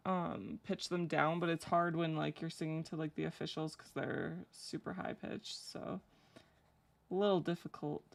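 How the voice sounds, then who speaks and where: A young woman with a low voice chatting casually and rambling with lots of fillers while she draws on a live stream. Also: fairly even intonation, normal speed, creaky. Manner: rambling, filler-heavy, laughs easily. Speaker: streamer drawing fanart.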